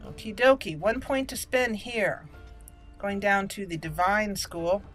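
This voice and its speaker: A woman's voice: medium pitch, lively intonation, unhurried, a bit bright. A woman giving casual, chatty gaming commentary, thinking aloud and exclaiming as she plays. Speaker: gameplay video host. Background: game music.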